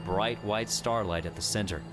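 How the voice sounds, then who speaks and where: Clear background music runs under a man's low voice. He narrates a scripted adventure story in a dramatic audiobook style. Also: moderate pace.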